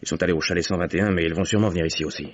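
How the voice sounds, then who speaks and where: An actor playing an insistent, level-headed friend of a fugitive in a French radio mystery, husky and firm, on a dull old recording. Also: male voice, low-pitched, steady tone, rapid-fire, slight background noise, lightly acted. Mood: urgent.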